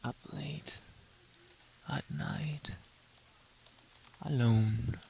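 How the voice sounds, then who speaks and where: A man acting a slow, whispery jealous boyfriend. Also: mid-range voice, a little mellow, a little hiss or hum. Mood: suspicious, accusing. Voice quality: breathy, often dropping into whisper. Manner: theatrical, slow, drawn-out interrogating with dramatic pauses. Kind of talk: ASMR roleplay, close whispering and soft speech.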